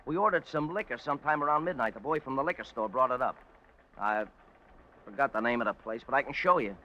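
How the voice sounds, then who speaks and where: An actor playing a whiny, evasive ex-convict informer with slangy, uneducated grammar in a 1950s radio detective drama. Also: male voice, mid-range voice, fast talker, bright, forceful tone, lightly acted. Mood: defensive pleading. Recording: muffled vintage radio recording.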